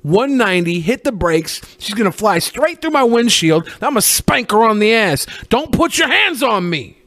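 A man's voice: high voice, big pitch swings, moderate pace, a bit bright. A man co-hosting a podcast with casual, crude comedic banter, blunt and full of swearing. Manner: profane, blunt, joking. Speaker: podcast co-host.

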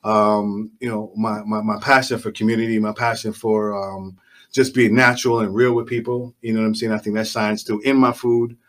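A man's voice: fairly low pitch, level tone, moderate pace. A Jamaican-born man raised in the Bronx talks casually and reflectively as a guest chef in a podcast interview, with plenty of colloquial phrasing. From Jamaica, raised in the Bronx, New York. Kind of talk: casual conversational interview talk.

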